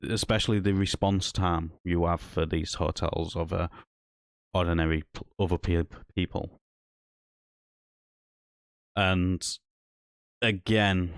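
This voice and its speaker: A man with a deep, low voice talks over a video clip as a commentator, picking apart what he has just watched. His voice is dry and close to the mic, with no room sound around it. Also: no echo. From Hull, East Yorkshire. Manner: critical, explanatory, speaking to viewers. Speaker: commentator reviewing an audit video. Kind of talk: reaction commentary.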